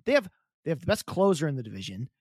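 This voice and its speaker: A man with a fairly high voice talks in a chatty, lively way as a podcast co-host. He stumbles and repeats himself as he goes. The recording is close and dry. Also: racing, no room sound. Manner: chatty, animated, repeats words and restarts often. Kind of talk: casual sports chat.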